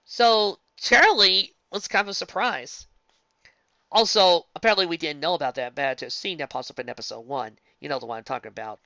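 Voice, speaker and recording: A woman casually commenting on a fan story she reads aloud. She rambles, restarts and corrects herself, and jumps up in pitch for emphasis. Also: brisk, no room sound, muffled recording. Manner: animated, jumping up in pitch for emphasis. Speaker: fan fiction reader and commentator.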